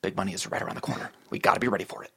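A man's voice: rapid-fire, fairly bright tone, lightly acted. A man playing a cocky, scheming slacker roommate in a sitcom table read, with a brash and exaggerated delivery. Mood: irritated.

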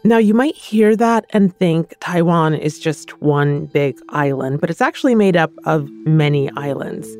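Casting: female news podcast host, low voice, conversational narration over music. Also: lively intonation, unhurried, few pauses, darkish tone. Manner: clear, conversational questioning.

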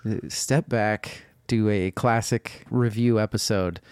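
A man's voice: fairly low pitch, steady tone, slow pace, a little mellow, clean tone, dry recording, clean studio sound. A man hosting a podcast in a casual, chatty style. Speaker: podcast host.

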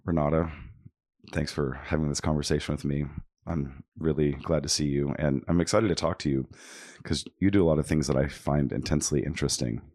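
Low-voiced man hosting a podcast. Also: steady tone, brisk, darkish tone, no room sound, clean studio sound. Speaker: podcast host and conductor. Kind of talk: warm conversational interview. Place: backstage dressing room.

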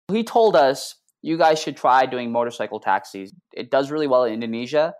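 Man, startup CEO, matter-of-fact, mid-range pitch. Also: lively intonation, a little fast. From United States. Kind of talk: interview answers.

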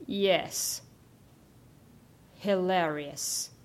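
An actress playing a stern, conscientious, exasperated bookkeeper in a comedy audio drama, sarcastic and indignant. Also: female voice, on the low side, very slow. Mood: dry, sarcastic.

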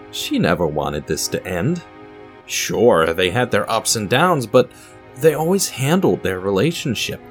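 A man narrating a scripted dramatic story, reading as a storyteller. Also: medium pitch. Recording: music under the voice.

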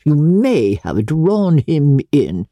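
A woman's voice: sits low, very animated, takes it slowly, warm tone, no echo, studio quality, exaggerated voice. A woman voicing a haughty older aristocratic lady in a storybook reading, domineering and indignant, with pompous, commanding emphasis. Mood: outraged, commanding.

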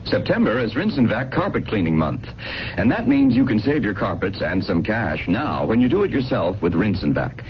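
A man's voice: on the low side, very animated, normal speed. A man reading a scripted radio advertisement as a commercial spokesman. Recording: muffled, noisy vintage radio broadcast.

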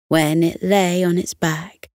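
A woman narrating a scripted bedtime story in a soothing, unhurried storytelling manner. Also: on the low side, level tone, darkish tone, no echo, studio quality.

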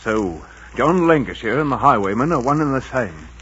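An actor playing a stern, protective innkeeper father with a rough voice, in 1940s radio drama style. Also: mid-range voice, very animated, normal speed, lightly acted. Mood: stern, insistent. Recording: tinny, narrow-band 1940s radio recording.

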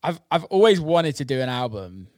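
A man's voice: mid-range voice, very animated, moderate pace, darkish tone, no room sound, clean studio sound. A man chatting casually as a music producer, informal and sweary, peppering his talk with "like". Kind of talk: casual chat.

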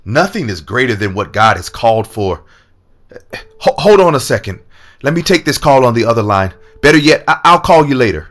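A man's voice: mid-range voice, sing-song, normal speed, a bit bright. An actor playing a proud, lovestruck male senator in an audio drama, talking in a casual, easygoing way. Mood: earnest.

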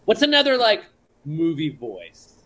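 A man's voice: on the high side, wildly swooping pitch, measured pace, bright, forceful tone, poor audio. A man co-hosting a podcast, chatting casually in an enthusiastic, slangy way. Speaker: podcast co-host. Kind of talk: casual chat.

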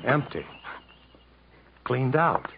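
An actor playing a bitter, sardonic, fatalistic jungle wanderer in 1950s American radio drama style, dramatic and mocking. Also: male voice, mid-range voice, sing-song, drawn-out words, gravelly, exaggerated voice. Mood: bitter defeat. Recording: muffled, noisy 1950s radio recording.

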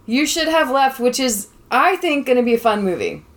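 A woman's voice: high-pitched, very animated, moderate pace, fairly bright tone. A woman in her thirties hosting a podcast in a chatty, casual way, laughing often. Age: 30s. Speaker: podcast host and lecturer. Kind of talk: casual chat.

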